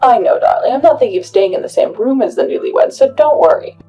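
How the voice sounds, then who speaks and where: A woman's voice: fairly high pitch, animated, fast talker, dark tone, faint music behind, natural voice. A woman voicing a pushy, sweetly insistent middle-aged mother-in-law who talks in a breezy, overbearing way.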